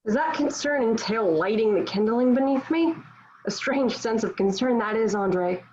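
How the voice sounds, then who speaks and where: A woman's voice: on the high side, animated, normal speed, darkish tone, a little hiss or hum, natural voice. A woman playing a defiant, devout young warrior held captive, with solemn, declamatory phrasing in a table read of a historical drama, on a dull lo-fi recording. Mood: scornful, defiant. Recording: dull, lo-fi home online recording.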